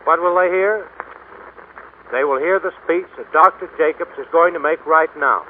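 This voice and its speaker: A man presenting scripted narration on 1930s radio, solemn and deliberate with emphatic enunciation. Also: on the high side. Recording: tinny, faintly noisy 1930s radio broadcast.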